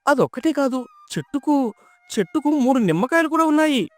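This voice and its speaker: Guy playing poor honest village husband in a folk story, very expressive pitch swings, music behind. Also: a little fast, no room sound. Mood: amazed, reverent. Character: poor, pious, honest village husband, humble and wonder-struck. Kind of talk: dramatised folk tale storytelling.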